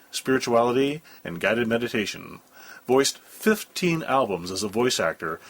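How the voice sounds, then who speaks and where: A man's voice: medium pitch, a bit bright, husky. A man presenting a scripted introduction, reading it out as the show's presenter.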